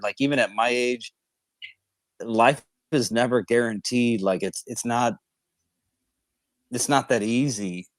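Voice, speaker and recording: A man's voice: mid-range voice, slow pace, long pauses, no room sound. A man in his late thirties arguing casually on a group call, calling others bro and man. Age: 30s. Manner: casual, calls people bro and man, defensive. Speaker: call participant. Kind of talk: heated debate on a group call.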